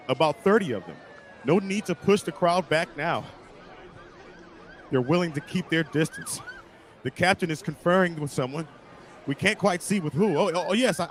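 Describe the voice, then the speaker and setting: An actor playing a frantic radio field reporter in a drama, stammering through a breathless eyewitness account of an alien attack. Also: male voice, a little high, a little hiss or hum, natural voice. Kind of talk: radio drama acting, live news report.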